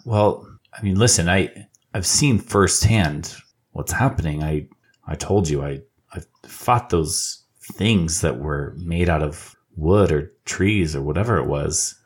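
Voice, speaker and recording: A man speaking in character as a player in a tabletop game, conversational and a little unsure as he works through his thoughts. His voice is low and husky. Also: slow. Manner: conversational, searching for words.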